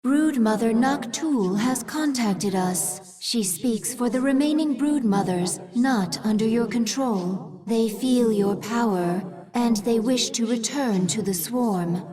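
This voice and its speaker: An actress playing a serene, dutiful alien adviser in a video game, formal and measured. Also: female voice, on the high side, a crowd in the background. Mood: reverent.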